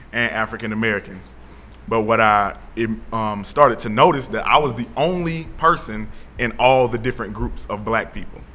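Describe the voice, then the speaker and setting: A young man from Atlanta, telling his story as an interview guest in a relaxed way with plenty of fillers. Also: medium pitch, a little hiss or hum. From Atlanta, Georgia. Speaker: guest, founder of an initiative. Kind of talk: casual interview talk.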